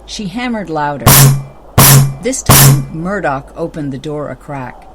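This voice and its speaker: A woman's voice: low-pitched, lively intonation, measured pace, over loud music. A woman narrating a children's story from a script. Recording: clearly noisy background ambience.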